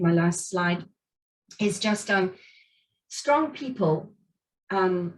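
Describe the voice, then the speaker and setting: A middle-aged woman whose first language is Afrikaans, giving an earnest, reflective talk at a meetup with many fillers and restarts while she has covid. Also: mid-range voice. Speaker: guest speaker. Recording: speaker distant from the mic.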